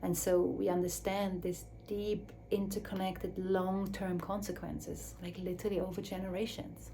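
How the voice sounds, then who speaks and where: A woman speaking English as a second language in a slow, searching reflective talk, with restarts and soft drops to a near whisper. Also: fairly low pitch, level tone, gravelly, slight background noise, poor audio. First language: Swiss German or Dutch. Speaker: speaker on her own channel.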